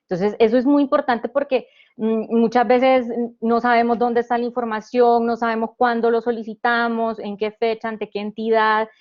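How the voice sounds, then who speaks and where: A woman's voice: on the high side, little pitch movement, moderate pace, hardly any pauses, muffled recording. Woman from Honduras explaining step by step. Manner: explains step by step, with frequent restarts and "eh". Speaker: panelist presenting a government digital service. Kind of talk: explanatory presentation.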